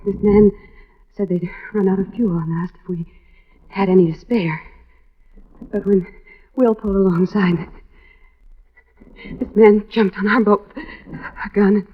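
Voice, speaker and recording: A frail, traumatised woman of radio drama, breathy and exhausted. She halts and stammers, on a dull vintage recording. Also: mid-range voice, fairly even intonation. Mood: dazed, weary. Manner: halting, stammering. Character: frail, shocked, exhausted young wife, a survivor. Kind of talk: old-time radio drama acting.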